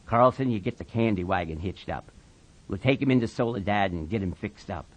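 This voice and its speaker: A man voicing a steady, authoritative ranch hand in an audiobook, speaking gruffly and bluntly. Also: rough recording. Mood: grave, commanding. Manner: low-voiced, blunt, measured authority. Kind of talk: dramatised audiobook of a novel.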